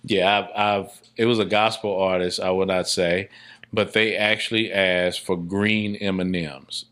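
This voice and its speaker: A man's voice: low-pitched, flat delivery, measured pace, fairly bright tone. A man speaking casually as an interview guest, emphatic and often repeating his phrases. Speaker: podcast guest, filmmaker.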